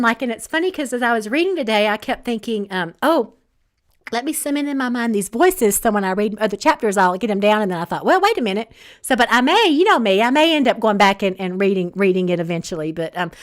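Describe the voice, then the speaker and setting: A woman with an Alabama Southern accent chats casually, reading viewers' comments aloud as she goes. Her voice sits a little high and runs loose and rambling, full of ums and y'alls. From Northeast Alabama. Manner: chatty and rambling, many ums and restarts, says y'all. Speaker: author hosting a live book chat.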